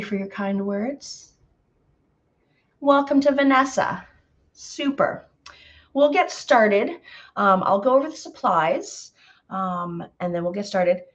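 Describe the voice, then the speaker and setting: Woman, slightly hoarse, painting instructor, chatty live stream, medium pitch, muffled audio. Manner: chatty, greets viewers by name, frequent fillers. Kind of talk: live painting tutorial chat.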